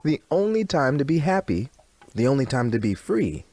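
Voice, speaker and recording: A man's voice: medium pitch, very animated, moderate pace, darkish tone. A man playing a blissful, eerily upbeat, cult-like radio DJ, soothing yet theatrical in his scripted patter.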